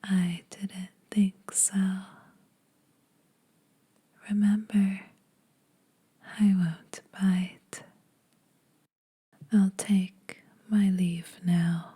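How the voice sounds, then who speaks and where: A woman voicing a teasing, predatory yet protective female vampire in a breathy whispered ASMR roleplay, speaking slowly and a little formally. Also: mid-range voice, flat delivery, warm tone, heavily acted. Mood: dry teasing. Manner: slow, deliberate, faintly formal teasing.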